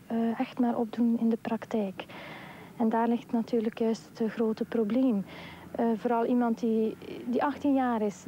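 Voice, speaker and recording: A Flemish woman in her thirties with a fairly high, mellow voice. She speaks hesitantly and often stops to fill pauses. The recording sounds a little dull, and faint sound can be heard from outdoors near parked trucks. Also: a little hiss or hum. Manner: hesitant, many fillers.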